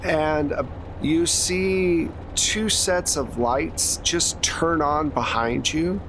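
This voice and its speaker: A man's voice: fairly high pitch, very slow, background noise. A man hosting as game master, narrating a tabletop horror game in a conversational style. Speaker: game master and podcast host.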